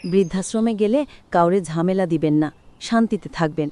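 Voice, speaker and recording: An actress playing a busy daughter-in-law in a cartoon, making polite, persuasive excuses in a Bangladeshi Bengali accent. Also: female voice, fairly low pitch, moderate pace, dark tone, slight background noise, close to an everyday voice. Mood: excusing, coaxing. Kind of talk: cartoon voice acting.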